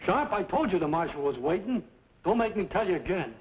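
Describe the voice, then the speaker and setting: A high-voiced, blustering frontier deputy. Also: male voice, sing-song, a little fast. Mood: officious. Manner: folksy, blustering. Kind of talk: old-time radio western acting. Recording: muffled, hissy old radio recording.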